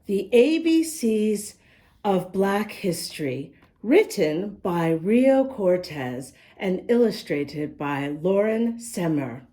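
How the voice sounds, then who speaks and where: A woman reading a picture book aloud to young children as a storytime host, expressive and often speaking to them directly with questions. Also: mid-range voice, slow pace.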